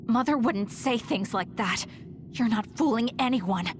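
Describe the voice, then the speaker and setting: A woman's voice: a little high, expressive, measured pace, slight background noise. An actress playing a defiant, grieving young woman fighter in a video game cutscene.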